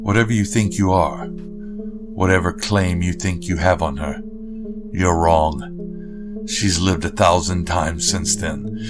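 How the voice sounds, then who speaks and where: A man's voice: deep voice, measured pace, long pauses. A man playing a fierce, protective man who speaks in a firm, defiant way in an audio drama. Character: fierce, protective man standing his ground. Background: guitar music.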